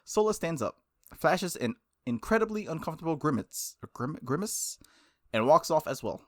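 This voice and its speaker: A man reading a visual novel aloud as a game streamer, expressive, with casual slangy reactions. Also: a little high, normal speed, no echo, studio quality. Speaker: game streamer, let's-play host.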